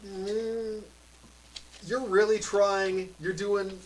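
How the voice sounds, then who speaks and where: A man narrating as game master of a tabletop role-playing game, describing scenes in a relaxed, rambling way. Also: sits high, drawn-out words. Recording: mic a bit far, faint noise.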